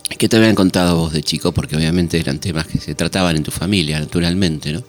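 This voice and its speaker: A man's voice: low-pitched, fast. A man, interviewing conversationally and attentively, with brief interjected remarks.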